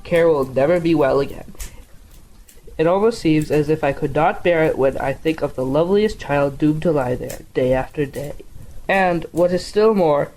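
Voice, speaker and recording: A woman's voice: low voice, warm tone, a little hiss or hum, rough recording. A woman playing a devoted, old-fashioned husband and father in a family audio drama, with formal, courtly phrasing. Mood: grieving.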